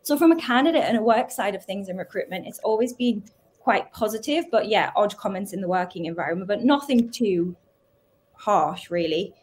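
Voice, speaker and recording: A woman's voice: medium pitch, expressive, moderate pace, a little mellow. A woman with a Geordie accent, a recruiter, chatting casually on a panel with frequent "like" fillers. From Northeast England.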